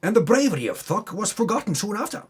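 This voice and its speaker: A man with a husky voice doing a theatrical, tongue-in-cheek dramatic reading of a tabletop campaign recap, with wry asides. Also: sits high, big pitch swings, fast.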